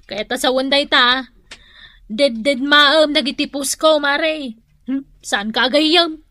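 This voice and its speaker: A woman's voice: high voice, takes it slowly, pushed and bright, no room sound, heavily acted. A woman acting a vain, bubbly, pushy wife hooked on likes in a comedic radio drama, with an Ilocano Filipino accent and an exclamatory, wheedling, bossy delivery. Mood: sulky, insistent.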